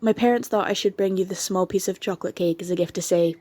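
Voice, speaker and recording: A woman's voice: medium pitch, steady tone, fast talker, a little mellow, no echo, natural voice. A woman playing a sweet young vampire girl. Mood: friendly. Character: young vampire woman, friendly new neighbor. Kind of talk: ASMR audio drama.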